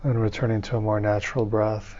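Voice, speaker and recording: A man leading a guided meditation as a teacher, speaking in calm, soothing, measured phrases with pauses between them. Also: lowish voice, dull audio.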